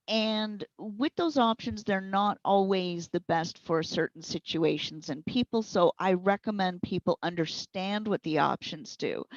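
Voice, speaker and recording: A woman's voice: on the low side, no echo, dull audio. A woman working as a trainer, giving practical, step-by-step explanations with examples in an interview. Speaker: speech recognition consultant and trainer.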